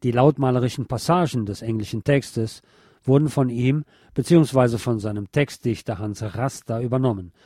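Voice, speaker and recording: A man's voice: mid-range voice, moderate pace, warm tone, no echo, clean studio sound. A man hosting a radio programme, reading a scripted narration on music history.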